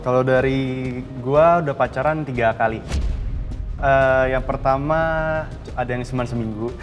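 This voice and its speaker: A man in his twenties, a dating show contestant, talking in a relaxed, casual way. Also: medium pitch, moderate pace, long reverb tail, dull audio, soft background music. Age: 20s. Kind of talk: casual chat.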